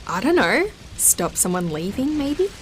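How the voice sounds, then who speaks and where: Woman acting a calm, enigmatic ghost, mid-pitched. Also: unhurried, slight background noise, rough recording. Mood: matter-of-fact. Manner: calm, matter-of-fact. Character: Laura, calm enigmatic female ghost, quietly reasoning. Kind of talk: scripted audio drama dialogue.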